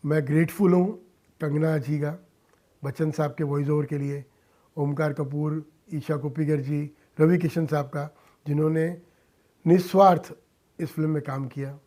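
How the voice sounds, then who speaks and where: Emphatic mature man, slightly high mellow voice. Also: steady tone. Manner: emphatic, deliberate, short phrases, respectful address, some English mixed in. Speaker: interview guest, social-cause filmmaker and philanthropist. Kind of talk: earnest radio interview talk.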